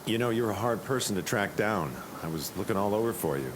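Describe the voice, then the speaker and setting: An actor playing an earnest American military pilot in an audio drama, with an American accent. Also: male voice, on the low side, lively intonation, a little fast, noisy background, close to an everyday voice. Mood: earnest, pleading.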